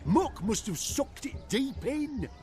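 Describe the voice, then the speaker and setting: Very high-pitched henpecked rustic. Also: male voice, sing-song, slow pace, mellow, faint music behind, heavily acted. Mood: defensive, whining. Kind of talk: video game cutscene acting.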